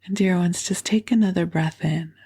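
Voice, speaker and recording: A woman hosting a bedtime meditation with a froggy morning voice, speaking softly and gently and often dropping to a whisper. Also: on the low side, fairly even intonation, moderate pace, husky. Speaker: podcast host. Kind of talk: soothing bedtime meditation and prayer.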